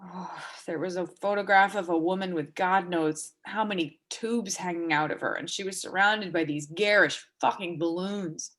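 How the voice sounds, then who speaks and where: A woman in a dramatic reading, playing a sharp, foul-mouthed, vulnerable woman with a halting, blunt delivery. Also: fairly low pitch. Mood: angry, bitter. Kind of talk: dramatic reading of a stage play dialogue.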